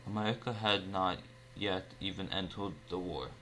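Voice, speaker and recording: A man's voice: low-pitched, steady tone, moderate pace, a bit bright, slight background noise, poor audio. A man reading scripted newsreel narration as the narrator.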